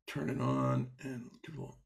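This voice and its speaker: Man, software instructor, gravelly, a bit husky, live demo over a call. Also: mid-range voice, flat delivery, normal speed. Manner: explanatory, with fillers and restarts. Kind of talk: live online coding demo. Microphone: video call.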